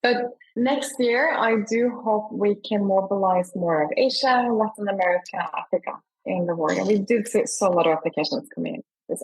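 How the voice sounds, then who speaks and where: Enthusiastic woman with slightly husky voice. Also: mid-range voice, brisk, hardly any pauses, rough recording. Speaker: award founder, interview guest. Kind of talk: conversational interview answers.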